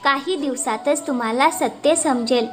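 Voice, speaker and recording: Cartoon wife, female actor: high-pitched, solicitous, sharp-witted woman, noticeable music behind. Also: moderate pace, natural voice. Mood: suspicious. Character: caring, shrewd village wife, wary for her husband. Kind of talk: cartoon moral-story dialogue.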